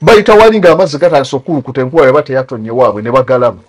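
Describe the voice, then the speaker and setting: A man's voice: medium pitch, rapid-fire, slightly rough. A man with a Ugandan accent giving critical talk show commentary, mixing English terms into his speech.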